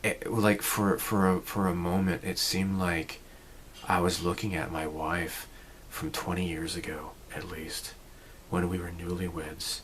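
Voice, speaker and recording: Slow, low-voiced man musing in his forties. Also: level tone, fairly bright tone, slight background noise. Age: 40s. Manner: rambling, reflective, many fillers and restarts. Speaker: channel host. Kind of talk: casual personal vlog talk.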